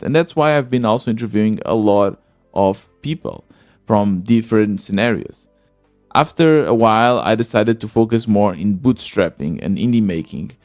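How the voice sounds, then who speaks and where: A man's voice: on the low side, darkish tone, no room sound. A man hosting his own podcast, talking earnestly and thinking aloud with small restarts and fillers. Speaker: podcast host. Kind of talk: solo podcast monologue.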